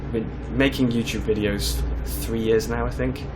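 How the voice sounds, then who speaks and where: A man's voice: medium pitch, steady tone, normal speed, music in the background, low-quality recording. A man with a slight lisp chatting casually with many fillers while playing a truck driving game. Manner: rambling and conversational, with many fillers. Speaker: gaming channel host. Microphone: desk microphone.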